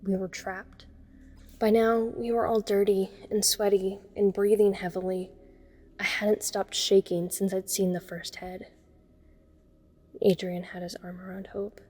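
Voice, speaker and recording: A woman's voice: mid-range voice, little pitch movement, unhurried, darkish tone, natural voice. A woman playing a frightened young woman, narrating a scripted first-person horror story. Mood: somber. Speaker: voice actor narrating a fan audio drama.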